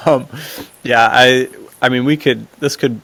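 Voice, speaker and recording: A man's voice: mid-range voice, fairly even intonation, measured pace, a bit bright, poor audio. A man hosting a podcast in a casual, chatty style. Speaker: podcast host. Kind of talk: casual chat.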